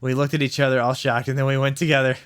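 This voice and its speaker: Male host, smooth clean voice, comic story narration with sarcastic, mock-earnest commentary. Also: medium pitch, flat delivery, fast, dry recording, clean studio sound. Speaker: host reading fan fiction aloud. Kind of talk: comic dramatic reading with asides.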